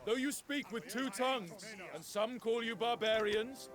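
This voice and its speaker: An actor playing an eloquent, commanding Spartan general who speaks in formal, persuasive oratory in a game cutscene. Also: male voice, very high-pitched, unhurried, over music. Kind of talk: dramatic video game cutscene acting.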